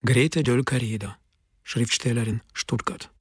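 A man's voice: mid-range voice, steady tone, a little slow, husky, dry recording, studio quality. A man announcing the speakers in a radio drama, terse and matter-of-fact. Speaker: narrator. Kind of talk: announcing sources, scripted.

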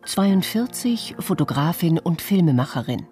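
Low, mellow female voice-over. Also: lively intonation, moderate pace, faint music behind. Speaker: voice-over reader. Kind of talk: scripted voice-over introductions.

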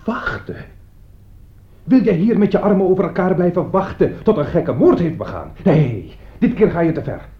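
Indignant, animated lawman in radio drama. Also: high voice, normal speed. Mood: alarmed, indignant. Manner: scornful, exasperated, moralizing. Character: indignant, sceptical man of the law. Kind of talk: radio play acting. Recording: dull, muffled old radio play recording.

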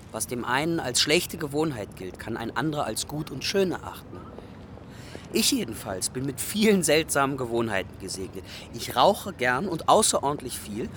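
A man's voice: on the high side, fairly bright tone, a little hiss or hum. A man playing a wry, self-mocking young refugee who tells his life in a confessional radio drama monologue.

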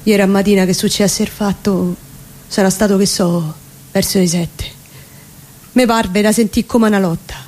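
A woman with a Roman accent playing a distraught working-class man confessing in dramatic dialect verse, pleading and halting. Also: mid-range voice, steady tone, a little mellow, close to an everyday voice. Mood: anguished. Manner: pleading, halting, emotive delivery. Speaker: reciting actress. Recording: live radio performance with background noise.

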